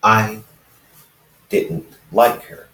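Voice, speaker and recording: A man acts out an ancient immortal hybrid from a fan story, brooding and defensive. The voice is lowish and halting, with slow phrases, in an echoey room. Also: bright, forceful tone, heavily acted. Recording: roomy echo, distant microphone.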